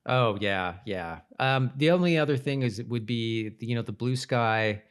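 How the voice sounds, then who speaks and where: A man's voice: mid-range voice, moderate pace, smooth. A man co-hosting a podcast in casual chat, speaking loosely and hesitantly, often trailing off. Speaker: podcast co-host. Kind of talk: casual chat about a TV episode.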